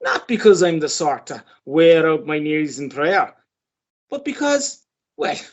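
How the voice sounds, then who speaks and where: A woman's voice: deep voice, big pitch swings, unhurried, muffled recording, full character voice. A woman acting an ageing, boastful Irish male sporting champion in a radio play, chatty, pompous and persistent. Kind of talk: radio play monologue and dialogue.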